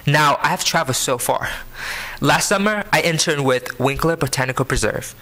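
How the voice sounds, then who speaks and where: A man in his early twenties with a Vietnamese accent, giving an earnest prepared speech to an audience, phrasing deliberately. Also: on the high side, pushed and bright, poor audio. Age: early 20s. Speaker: scholarship program student speaker. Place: live benefit event before an audience.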